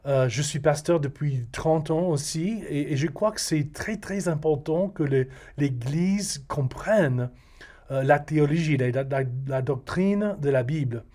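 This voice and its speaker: A middle-aged male pastor with a Texan accent, a native English speaker, reading a sermon in halting French for a video. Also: fairly high pitch, mellow. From Texas. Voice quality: halting non-native French with frequent hesitations. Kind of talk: recorded video sermon read from a script.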